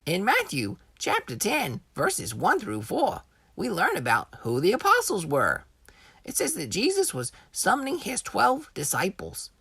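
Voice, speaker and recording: A woman with a very low, raspy voice gives scripted educational narration for children. Her intonation swings widely as she teaches in a storytelling manner, recorded dry. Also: a bit bright, no echo.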